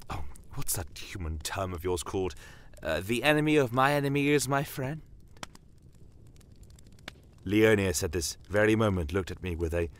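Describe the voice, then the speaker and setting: A man voicing a shrewd, bitter non-human desert commander in a dramatic audio story, speaking in a measured, theatrical and courteously menacing way. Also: low voice, a bit bright, exaggerated voice. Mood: pointed, probing. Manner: measured, theatrical, pointed rhetorical questions. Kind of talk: dramatic first-person audio story narration. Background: battle aftermath ambience.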